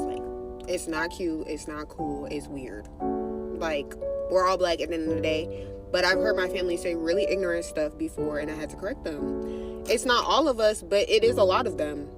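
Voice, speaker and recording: A Haitian woman with a fairly low voice talks bluntly and casually. She often sinks to a hushed voice, and loud plucked guitar music plays behind her. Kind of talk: casual social media video.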